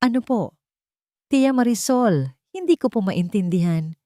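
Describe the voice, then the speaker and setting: A woman's voice: medium pitch, animated, a little slow, mellow, no room sound, studio quality, pitched above the performer's own voice, lightly acted. A woman voicing a timid, humble young mother working as a new maid, polite and trembling, in a story. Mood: frightened.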